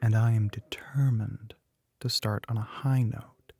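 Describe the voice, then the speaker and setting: A man playing a smug, teasing, telepathic young male classmate in an ASMR roleplay, with a husky, breathy, often whispered voice. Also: fairly low pitch, steady tone, darkish tone. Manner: smug, teasing, drawn-out knowing remarks.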